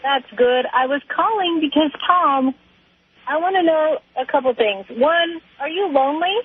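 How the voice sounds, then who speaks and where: A woman's voice: high-pitched, moderate pace. A woman calling a radio show and chatting casually over a phone line. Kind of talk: casual chat.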